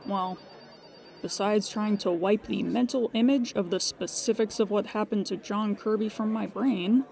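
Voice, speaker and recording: A woman's voice: mid-range voice, fairly even intonation, creaky, darkish tone. A woman playing a dryly weary, procedural insurance claims agent in an improvised, conversational audio drama. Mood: weary, wry. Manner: procedural, measured, wry asides when musing.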